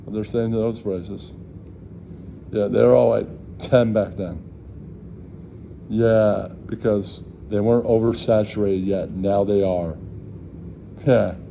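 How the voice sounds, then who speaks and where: A very mellow, dark-toned man talks slowly over faint background noise. He rambles casually like a vlogger, swears freely and circles back to the same catchphrases. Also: lowish voice, fairly even intonation, vocal fry. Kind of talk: casual rambling chat to camera.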